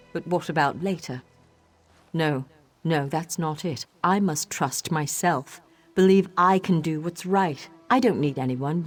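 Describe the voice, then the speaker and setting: Troubled young elf sorceress, fantasy game, lowish voice, intense and defiant, light music behind. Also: female voice. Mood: bitter, anguished. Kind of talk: video game character dialogue.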